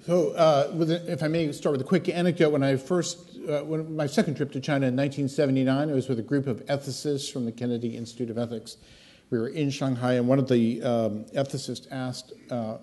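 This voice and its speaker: A man's voice: mid-range voice, dark tone. An older man on an expert panel, answering a question with a chuckling story. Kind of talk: panel discussion answer with anecdote.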